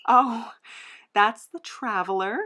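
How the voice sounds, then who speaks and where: A woman's voice: a little high, slow, pushed and bright. A woman hosting a casual comedic interview podcast, speaking in a hesitant, slightly awkward way.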